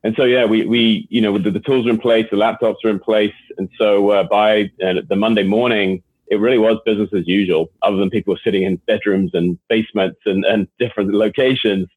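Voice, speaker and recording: A man's voice: fairly low pitch, steady tone, brisk, hardly any pauses, breathy edge, dry recording. A man with an English accent, a guest executive answering interview questions, who often repeats words as he restarts his phrases. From England. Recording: tinny phone-line sound.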